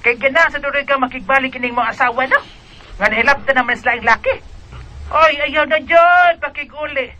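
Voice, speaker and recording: A very high, piercing female voice with a Bisaya Filipino accent. She is a sharp-tongued, scornful scold in a radio drama, emphatic and taunting, with faint noise behind her. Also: brisk, lo-fi sound. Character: scornful, sharp-tongued woman breaking bad news to a man.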